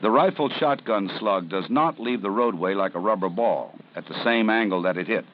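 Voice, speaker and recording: A man with a low voice gives measured, serious narration for a 1970s instructional film. The soundtrack is dull and lo-fi, with a faint hiss behind him. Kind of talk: scripted documentary narration.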